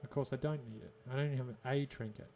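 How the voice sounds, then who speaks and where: A man's voice: medium pitch, steady tone, rapid-fire, dark tone, soft background music. An Australian man giving casual gameplay commentary, musing and thinking aloud to himself. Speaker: gaming video host.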